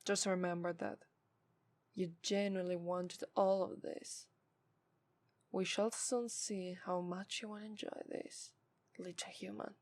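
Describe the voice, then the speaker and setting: A woman voicing a haughty, scornful, seductive demon in an ASMR roleplay, with an Italian accent and formal, deliberate delivery that dips into breathy whispers. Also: lowish voice, level tone, darkish tone, no echo. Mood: menacing, teasing.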